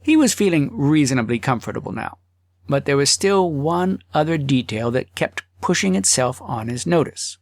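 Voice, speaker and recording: Male audiobook narrator, slightly high-pitched, very animated and theatrical, close dry sound. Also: normal speed, no room sound. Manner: theatrical, dramatizing thoughts and dialogue. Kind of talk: expressive scripted narration of a humorous story.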